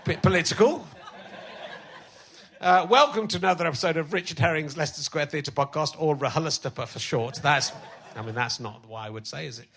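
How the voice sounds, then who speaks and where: Bright high-voiced comic man, a little croaky. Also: moderate pace. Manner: self-mocking, chatty. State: slightly croaky from illness. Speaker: comedian podcast host. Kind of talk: live stand-up banter on stage. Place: theatre stage before a live audience.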